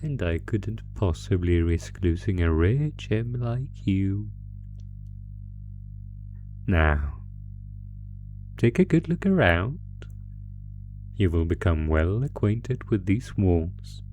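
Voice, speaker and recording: A man's voice: low voice, big pitch swings, slow pace, long pauses, a little mellow, soft background music, full character voice. A man voicing an ancient, possessive monster captor in a horror roleplay, gloating with menacing, mock-tender teasing. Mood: possessive pride. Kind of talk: horror roleplay audio acting.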